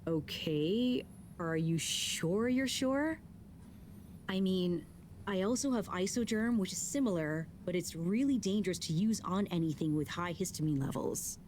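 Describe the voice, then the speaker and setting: A woman's voice: lowish voice, lively intonation, unhurried, darkish tone. A woman voicing a chatty, caring game character who offers medical advice, with faint noise behind. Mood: concerned. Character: helpful woman advising on medicine.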